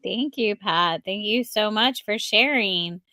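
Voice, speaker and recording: A woman's voice: mid-range voice, bright, forceful tone, no room sound, studio quality. A woman hosting a live book-launch stream over a video call, introducing guests with enthusiasm. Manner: enthusiastic, quick when handing over to guests. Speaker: host of a book launch livestream.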